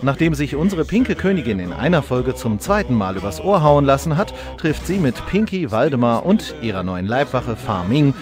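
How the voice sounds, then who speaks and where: A man's voice: mid-range voice, sing-song, moderate pace, few pauses, music in the background. A man giving theatrical scripted narration as the narrator of a parody audio drama.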